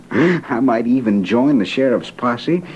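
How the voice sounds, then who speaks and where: A man's voice: mid-range voice, darkish tone, muffled recording. A man acting a smug, cunning cowpoke outlaw leader in an old-time radio drama. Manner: self-satisfied, chuckling. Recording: old radio recording with background noise.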